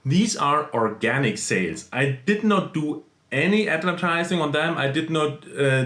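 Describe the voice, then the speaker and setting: A man from central Europe for whom English is a second language, talking in an earnest, plain-spoken way with many small fillers and restarts. His voice sits at an everyday middle pitch. The room around him sounds echoey. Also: a bit bright. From small country in central Europe. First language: not English. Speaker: side-hustle vlogger. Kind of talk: casual screen-share explainer.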